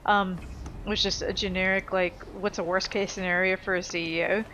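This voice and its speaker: A woman's voice: mid-range voice, flat delivery, a little fast, pushed and bright, a little hiss or hum, rough recording. A woman giving casual, unscripted let's play commentary in a blunt, sweary, opinionated manner. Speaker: gaming video host.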